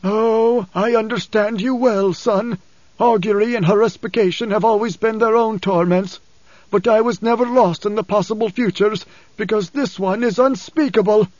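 An anguished older blind father as acted by a male audiobook narrator speaks in a raised, husky, trembling voice. He is a solemn desert prophet, weary and formal. The recording is a muffled, echoey cassette. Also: sits high, fairly even intonation, normal speed, darkish tone, voice pushed up, natural voice. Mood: wounded, grave. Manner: solemn, dramatic, formal speech. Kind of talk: audiobook character acting. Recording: dull cassette audiobook, roomy echo.

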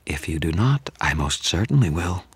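A man's voice: low voice, big pitch swings, normal speed, slightly rough, no echo, studio quality, heavily acted. A man voicing a monstrous yet sultry female vampire who snarls and hisses in a half-whisper. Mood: menacing.

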